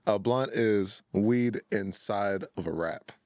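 Man, young, deep low voice, laid-back, easy laugh, dry close recording. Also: takes it slowly, no room sound. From Minnesota. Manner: laid-back, self-described scatterbrained, laughs often. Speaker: guest, music artist and producer. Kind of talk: casual podcast chat.

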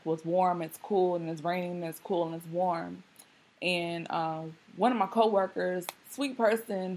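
A woman in her thirties hosting a podcast, talking candidly and casually with a slightly hoarse voice. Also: lowish voice. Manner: candid, conversational, many fillers. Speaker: podcast host. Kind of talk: casual monologue.

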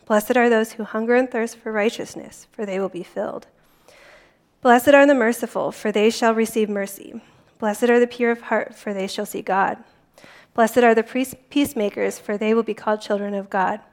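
A woman reading scripture aloud in a calm, careful way. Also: on the high side, normal speed. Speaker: volunteer scripture reader.

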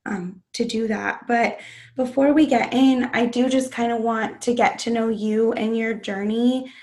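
A woman hosting a podcast, her voice hoarse and raspy from a cold, affirming and curious toward her guest. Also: a little high, steady tone, a little slow, a little mellow. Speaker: podcast host. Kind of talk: podcast interview.

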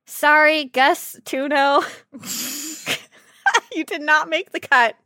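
A woman with a high, bright voice co-hosts a silly gaming podcast. She talks casually and playfully, with an excitable, giggly manner. The sound is close and dry, with no room to it. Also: slow, no room sound. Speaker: podcast host. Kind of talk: casual comedic podcast chat about a video game.

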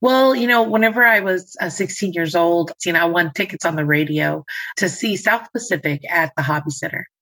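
Woman, low voice, lively dramatic storyteller, interview guest. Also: a little fast, poor audio. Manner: animated, dramatic storyteller, acts out quoted voices. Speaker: guest, singer and nurse. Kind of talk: interview conversation.

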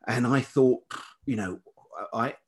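A man's voice: mid-range voice, slow pace, a little mellow. A middle-aged man with a velvety voice, telling stories reflectively and hesitantly as an interview guest, often repeating words as he searches for the next. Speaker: interview guest, writer and musician. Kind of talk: reflective conversational storytelling.

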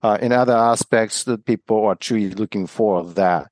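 A man of about fifty with a Japanese accent, a native Japanese speaker talking as a podcast guest in a halting, reflective way. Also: lowish voice, a little mellow, dry recording. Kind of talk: casual interview conversation.

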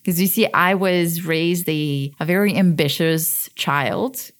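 A woman's voice: fairly low pitch, slow pace. A woman in her thirties from Switzerland, hosting a podcast and telling her own story in a candid, conversational way that pokes fun at herself. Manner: conversational, candid, self-deprecating. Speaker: podcast host. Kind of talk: personal storytelling monologue.

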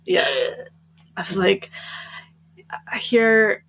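A woman's voice: medium pitch, fairly even intonation, extremely slow, gravelly, a bit bright, a little hiss or hum, rough recording. A giggly, chatty woman co-hosting a book podcast and casually recapping stories.

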